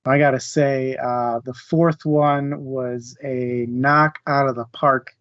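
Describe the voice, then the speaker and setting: A man's voice: mid-range voice, steady tone, slow pace, a bit bright, no echo. A man co-hosting a book club show, chatting casually about reading. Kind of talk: casual book discussion chat. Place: live online stream. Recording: dull, lo-fi, dry audio.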